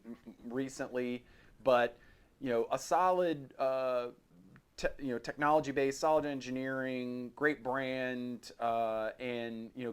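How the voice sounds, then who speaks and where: Clear-voiced man, casual podcast host. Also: mid-range voice. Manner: halting, thinks aloud, informal. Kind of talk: casual podcast chat about gear.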